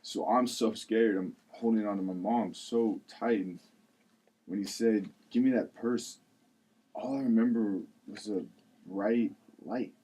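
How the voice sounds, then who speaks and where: A man's voice: on the low side, fairly even intonation, a little slow, warm tone, breathy edge. A man acting an earnest, protective friend in a table read, confiding in a conversational storytelling way. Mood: reflective, confiding.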